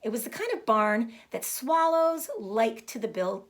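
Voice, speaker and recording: A woman reading a children's book aloud as a storyteller, with deliberate phrasing meant for young listeners. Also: a little high, animated. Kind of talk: expressive children's book narration.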